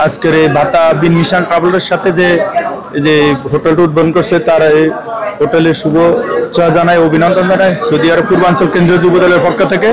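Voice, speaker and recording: A man's voice: fairly high pitch, little pitch movement, fast talker, low-quality recording. A man with a Bangladeshi accent giving a congratulatory speech to camera. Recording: crowd noise behind.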